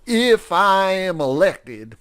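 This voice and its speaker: A man's voice: high voice, sing-song, slow pace, a bit bright, smooth, voice pushed up, full character voice. A man doing a pompous woodpecker politician. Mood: orating. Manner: pompous, formal, icily polite. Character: pompous male woodpecker politician, stiff, self-important.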